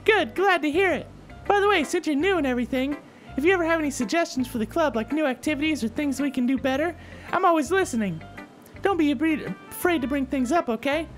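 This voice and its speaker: A cheerful teenage club leader from a visual novel played by a woman. She is reassuring and encouraging in a high voice, with music playing behind. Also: expressive, fast. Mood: welcoming. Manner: friendly, encouraging. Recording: game music under the voice.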